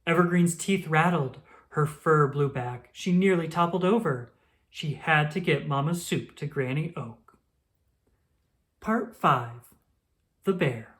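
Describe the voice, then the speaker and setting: A woman reading a children's book aloud as an expressive storyteller. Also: sits low, slow pace.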